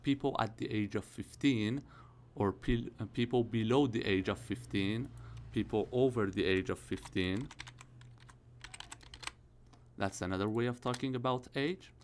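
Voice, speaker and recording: A man's voice: lowish voice, moderate pace, darkish tone, slight background noise. Man, early thirties, English teacher, patient step-by-step grammar explanation, keyboard typing behind. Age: 30s. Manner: explaining step by step with repeated checks. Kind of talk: IELTS grammar lesson.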